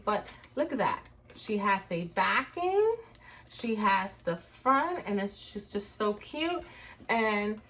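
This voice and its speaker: Middle-aged Puerto Rican woman hosting a home crafts tutorial; friendly, chatty delivery at an average pitch, with a little noise behind her. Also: fairly bright tone. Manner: chatty, narrates her hands-on work to viewers. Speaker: crafts channel host.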